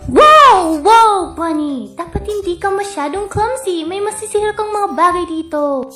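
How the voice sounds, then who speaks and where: Bossy animatronic clown girl, female performer: gushing, theatrical, very high, roomy recording with faint music. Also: animated, unhurried, pushed and bright, heavily acted. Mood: bossy, cheery. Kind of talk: Tagalog fan-dub cartoon acting.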